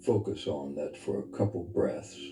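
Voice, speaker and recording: A man leading a guided meditation as a spiritual teacher, speaking in a soft, intimate, earnest and unhurried way. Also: a bass voice. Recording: reverberant voice over background music. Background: music.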